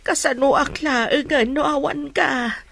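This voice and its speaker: Bright-voiced Ilocano mother, played by a woman. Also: high-pitched, fairly even intonation, slow, vocal fry, heavily acted. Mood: weary, tender. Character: ailing, affectionate older Ilocano mother. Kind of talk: radio drama acting.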